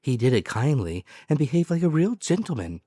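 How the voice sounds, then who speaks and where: A man's voice: mid-range voice, very animated, brisk, dark tone, clean studio sound, pitched above the performer's own voice. A man voicing a polite, deferential and eager Victorian manservant in an audiobook, formal and proper in manner. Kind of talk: dramatic audiobook character voice. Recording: echoey room, music behind.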